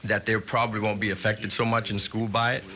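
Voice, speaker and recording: A man's voice: fairly low pitch, level tone, sharp tone. Indignant middle-aged man testifying. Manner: indignant, earnest, halting with fillers. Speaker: citizen giving public testimony. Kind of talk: public hearing testimony.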